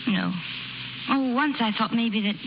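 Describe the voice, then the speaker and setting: An actress playing a wistful, proud young circus bareback rider, subdued and slangy, in a 1930s radio drama. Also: female voice, a little high, unhurried, lightly acted. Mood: sad, resigned. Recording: muffled, hissy 1930s radio recording.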